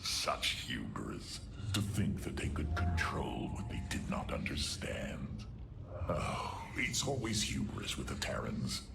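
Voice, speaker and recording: An actor voicing an arrogant, contemptuous alien warlord in a video game, with a slow, sneering, haughty delivery. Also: male voice, extremely low pitch, big pitch swings, gravelly, loud music behind the voice, heavily acted. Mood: scornful. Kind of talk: dramatic video game acting.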